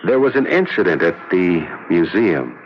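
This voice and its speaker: Deep-voiced skeptical police detective. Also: male voice, big pitch swings, normal speed. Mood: probing, skeptical. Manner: questioning, pressing, doubtful. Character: skeptical, probing male police detective lieutenant. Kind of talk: 1970s radio drama acting. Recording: muffled noisy vintage radio broadcast.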